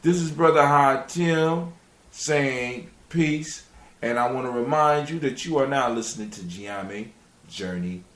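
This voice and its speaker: A man's voice: medium pitch, a little slow, noisy background. Man hosting a roomy-sounding live show. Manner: informal, rambling, repeats phrases while finding words. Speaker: radio show and podcast host. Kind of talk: casual live show chat and sign-off. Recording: roomy echo, faint music.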